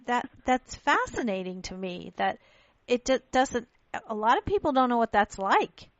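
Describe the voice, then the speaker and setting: A woman's voice: a little high, big pitch swings, normal speed, dry recording, dull audio. A woman born in Pakistan hosting a radio talk show, speaking earnestly in casual chat and often restarting her sentences.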